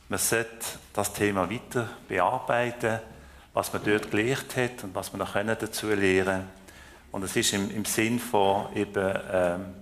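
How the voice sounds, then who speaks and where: A man from France who grew up speaking French, with a Swiss German accent, preaching a sermon in a slow, hesitant way with fillers and restarts. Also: lowish voice. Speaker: lay preacher.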